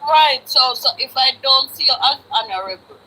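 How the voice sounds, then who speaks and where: A woman's voice: high voice, lively intonation, moderate pace, bright, forceful tone, a little hiss or hum, low-quality recording. A woman with a Jamaican accent arguing bluntly and emphatically in a live political discussion, repeating words for effect.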